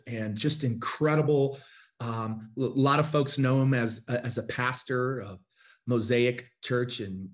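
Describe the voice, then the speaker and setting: A man in his forties hosts a live online interview show from his home studio. He talks with eager enthusiasm and gestures freely, his voice sitting in an everyday middle range as it comes over a video call. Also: mid-range voice. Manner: enthusiastic, gestures while talking. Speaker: host. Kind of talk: casual interview.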